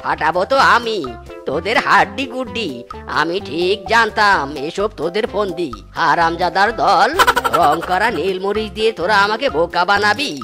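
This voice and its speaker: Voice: medium pitch, moderate pace, loud music behind the voice, natural voice. A performer voicing a stingy, blustering, gullible animal husband in a Bangla comedy cartoon, scolding and exclaiming. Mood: furious threatening.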